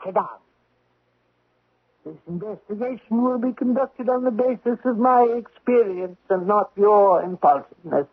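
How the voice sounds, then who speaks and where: Dry magistrate, high-pitched, husky, impatient, condescending, vintage radio drama. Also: male voice, moderate pace. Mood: exasperated. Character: skeptical, exasperated senior male magistrate, dryly condescending. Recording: dull 1970s radio drama recording.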